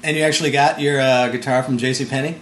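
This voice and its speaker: A man conducting a casual interview, talking in a rambling, halting way. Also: mid-range voice, steady tone, a bit bright. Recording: roomy echo, background noise.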